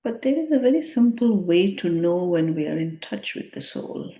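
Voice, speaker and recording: A woman leading a guided meditation in a measured, contemplative manner, leaving long pauses between phrases. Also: lowish voice, expressive. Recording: dull, muffled lo-fi sound.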